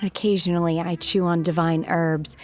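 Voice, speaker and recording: A woman voicing an aloof young woman who lives secluded in the mountains, calm, detached and literal-minded, in a game cutscene. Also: on the low side, normal speed, close to an everyday voice. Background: soft background game music.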